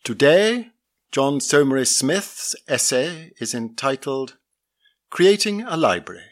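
A man's voice: medium pitch, fairly bright tone. A man presenting a scripted podcast introduction.

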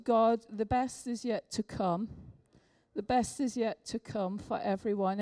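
Older woman preacher, hoarse with a cold, effusive, slow delivery, mellow tone. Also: fairly high pitch. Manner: warm, effusive, exclamatory preaching. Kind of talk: sermon.

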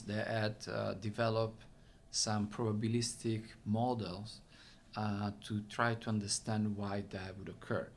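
A man from Italy with a thick Italian accent, explaining his research in an interview, with many fillers and restarts. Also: on the low side. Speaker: researcher and center director. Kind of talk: interview answers. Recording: faint background noise.

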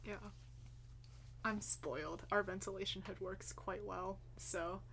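A woman's voice: mid-range voice, fairly even intonation, unhurried, vocal fry, fairly bright tone, a little hiss or hum. A young woman with Cantonese as her first language, co-hosting a podcast in a sleepy, laid-back way and laughing often. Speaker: podcast co-host. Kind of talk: casual chat.

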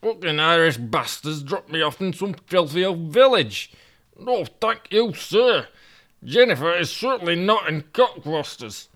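Man putting on a Yorkshire accent, forty-year-old bloke, grumbling, swearing, higher than his own voice. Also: big pitch swings, measured pace, a bit bright. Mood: indignant. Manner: cursing, grumbling, exasperated. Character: gruff foul-mouthed Yorkshire man of forty.

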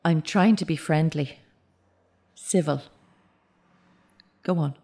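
A woman's voice: low voice, slow, warm tone, slightly rough, full character voice. An actress playing a grieving middle-aged mother, searching and earnest, questioning insistently in a naturalistic audio drama. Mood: strained, civil. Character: grieving, searching mother of a dead teenage son.

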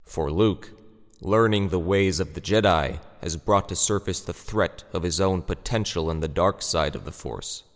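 A man's voice: sits low, moderate pace. A man reading dramatic scripted narration for an audio drama. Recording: long reverb over music.

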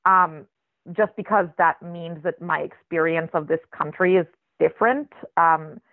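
A writer raised in Israel with a flat American accent, speaking as an interview guest in a thoughtful, searching way with many restarts. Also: mid-range voice, unhurried, no echo. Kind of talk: reflective conversational interview. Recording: tinny phone-line recording.

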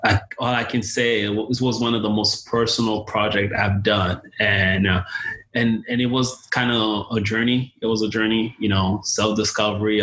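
Young guy from haiti on an interview, bright lowish voice, kinda hesitant, lots of restarts. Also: poor audio. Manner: hesitant, many restarts and fillers. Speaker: guest filmmaker. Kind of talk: casual interview answers.

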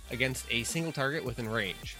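A hesitant man reads out game rules in a halting way, at a mid pitch. Music is clearly audible behind his voice. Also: poor audio. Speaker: player.

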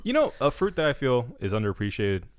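A man's voice: mid-range voice, quick pace, crowd noise. A man co-hosting a podcast, chatting casually and teasing his friends playfully. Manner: playful, teasing, lively banter. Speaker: podcast co-host. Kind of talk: casual chat.

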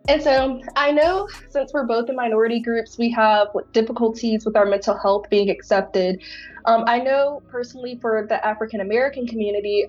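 A woman's voice: on the high side, darkish tone, highs cut off. A young woman hosting a casual podcast, chatty and giggly, with lots of "like" in her talk. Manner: chatty, giggly, says like a lot. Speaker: podcast host.

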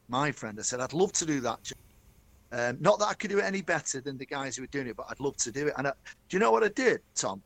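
A man's voice: medium pitch, fairly bright tone, no room sound. A middle-aged man with a Manchester accent, telling stories from his career as a guest in a chatty, enthusiastic interview. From Manchester, England. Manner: chatty, enthusiastic, addresses host by name. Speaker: guest, stadium announcer. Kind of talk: storytelling interview.

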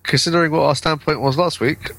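A man's voice: on the high side, steady tone, quick pace, gravelly, fairly bright tone. A man chatting casually as a podcast co-host. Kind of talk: casual gaming podcast chat. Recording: faint background noise.

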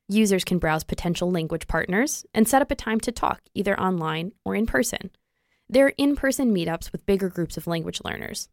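A woman narrating a news feature in a measured, scripted delivery. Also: on the low side, no echo, studio quality.

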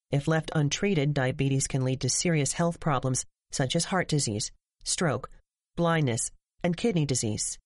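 Dry, even health ad narrator. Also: medium pitch, no echo. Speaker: announcer. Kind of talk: scripted health ad.

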